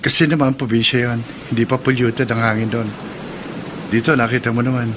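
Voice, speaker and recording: An actor playing a gentle, eager young suitor in a radio drama. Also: male voice, mid-range voice, little pitch movement, a little fast, noisy background, close to an everyday voice. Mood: easygoing, attentive. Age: young adult. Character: eager young suitor, polite call center worker. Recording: radio drama with clear background ambience.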